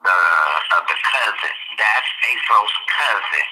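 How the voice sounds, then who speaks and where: A deep-voiced man hosting a live call-in show, drawing out his story at an unhurried pace. His tone is bright and forceful, and there is a faint hiss behind him on the line. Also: low-quality recording. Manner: slow, meandering storytelling with fillers. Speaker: show host. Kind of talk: casual live call-in chat.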